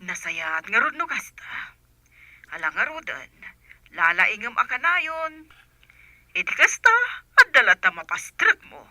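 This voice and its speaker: Bright, high, expressive woman. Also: unhurried, long pauses, no room sound, full character voice. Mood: pleased, friendly. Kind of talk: Ilocano radio drama acting.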